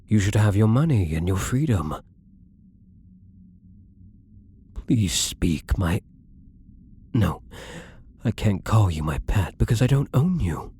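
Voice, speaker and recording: A man playing a wealthy gambler, possessive and lovestruck, adoring yet commanding, in an intimate, breathy ASMR romance roleplay that often drops toward a whisper. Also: lowish voice, lively intonation, unhurried, warm tone, slight background noise. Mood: pleading, earnest.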